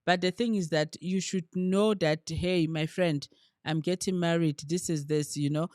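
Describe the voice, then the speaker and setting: An earnest woman co-hosts a relationship podcast, talking her points through in casual chat and pausing to search for words. The sound is dry and close, with no room echo. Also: on the low side, fairly even intonation, darkish tone. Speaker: podcast co-host.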